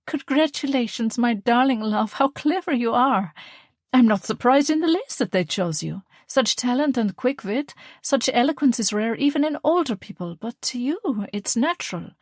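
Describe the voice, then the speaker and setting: A woman reading the part of a calm, loving mother, speaking softly and tenderly. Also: a little high, moderate pace, no echo, studio quality, pitched above the performer's own voice. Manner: soft, tender, reassuring.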